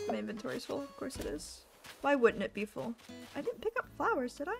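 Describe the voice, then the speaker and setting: A young woman with a rough, hoarse voice chats loosely into a desk mic as she plays, drifting from one thought to the next. She teases herself often and keeps an easygoing, unhurried manner. Music plays clearly beneath her voice. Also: high-pitched, sing-song. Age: young adult. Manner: rambling, self-deprecating, chatty. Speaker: live streamer. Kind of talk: casual gaming stream chat. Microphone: desk microphone. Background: music playing.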